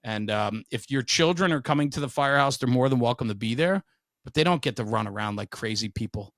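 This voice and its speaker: A man's voice: mid-range voice, animated, fast, fairly bright tone, no echo. Frank, emphatic middle-aged man, dry close sound. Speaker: podcast guest, veteran firefighter and speaker. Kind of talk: casual interview storytelling.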